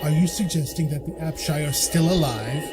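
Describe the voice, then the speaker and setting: A haughty, sardonic sci-fi villain acted by a man. He taunts in a theatrical radio-drama manner, with loud music swelling beneath the voice. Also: on the high side, moderate pace, natural voice. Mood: mocking. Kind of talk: audio drama acting.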